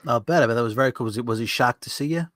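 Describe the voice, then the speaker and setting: A man's voice: medium pitch, rapid-fire, fairly bright tone, no room sound. A man with a mid-range voice hosts a casual interview. He speaks informally and conversationally, like someone chatting with a friend. The recording is dry, without audible room reflections. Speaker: podcast host, interviewer. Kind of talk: casual chat.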